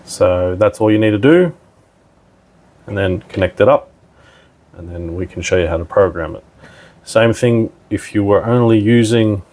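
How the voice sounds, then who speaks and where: A man's voice: fairly low pitch, darkish tone. A man giving a casual, plain-spoken step-by-step technical demonstration as a tutorial presenter.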